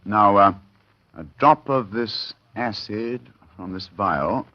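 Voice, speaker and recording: An actor playing a composed, methodical gentleman detective in an old radio mystery, speaking formally and with deliberate authority. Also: fairly low pitch, no room sound. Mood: calm, reasoning. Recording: dull, muffled old radio recording.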